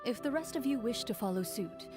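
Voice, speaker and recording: A woman voicing a calm, composed young female duelist in a video game, speaking in a cool, measured and direct way. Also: fairly high pitch, over music, close to an everyday voice.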